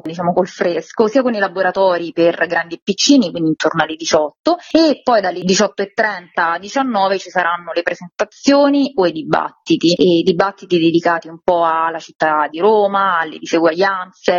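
A woman's voice: on the low side, breathy edge, no echo. A woman giving articulate, detailed information as a guest in a radio interview. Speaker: interview guest, festival organiser. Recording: muffled, dull lo-fi line.

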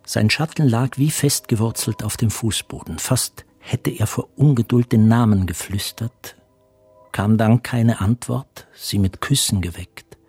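Grave guy narrator, lowish voice, measured literary radio drama reading over music. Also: a little mellow, breathy edge. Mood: tense. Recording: studio voice with music behind.

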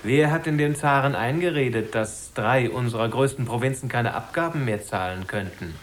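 An actor playing a smug, crooked councillor to a Tsar in a children's radio play, mannered and unctuous. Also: male voice, mid-range voice, normal speed, lightly acted. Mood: smug, accusing.

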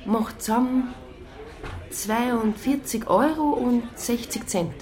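A woman's voice: a little high, takes it slowly, crowd noise. A woman playing a polite waitress in an ice-cream parlour in a radio drama.

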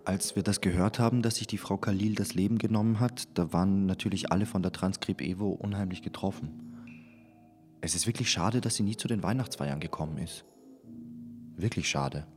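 An actor plays a male office colleague in a radio play, offering awkward sympathy. His low voice is bland and chatty over clear background music. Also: a little fast, darkish tone, natural voice. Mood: regretful, bland. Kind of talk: radio drama interview clip.

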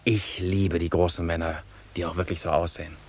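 Florid young disciple, very low voice, pompous, touchy, theatrical radio play. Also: male voice, flat delivery, fairly quick, slightly rough, no echo. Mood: scornful musing. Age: young adult. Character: pompous, earnest young admirer, easily affronted.

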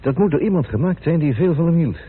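A man's voice: fairly high pitch, lively intonation, fast, breathy edge. An actor playing an observant, deferential male assistant in a radio play. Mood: curious. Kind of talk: radio drama acting. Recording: tinny, noisy old radio recording.

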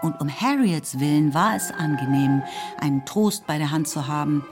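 A woman with a very low voice narrates a literary radio drama. Her delivery is composed and scripted, a storyteller's reading over faint music. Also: animated, moderate pace.

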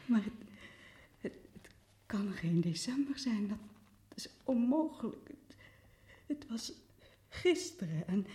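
A woman playing a confused, frightened young woman prisoner in a radio play, talking in halting, stammering phrases. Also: a little high, warm tone, reverberant.

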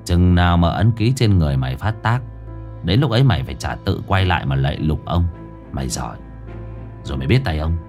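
A man voicing a gruff young male exorcist who speaks low and mockingly. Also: moderate pace, pauses often, a little mellow. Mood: menacing. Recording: faint background music.

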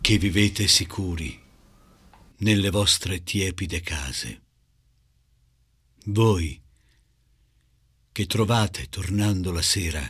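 A man's voice: deep voice, drawn-out words, pauses often, a bit bright, husky, full character voice. A man performing as a grave reciter, declaiming a solemn poem in a radio drama.

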